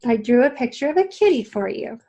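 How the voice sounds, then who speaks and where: Woman voicing an eager, high-pitched little girl. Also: sing-song, fairly quick, mellow. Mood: earnest, tender. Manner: eager, exclamatory.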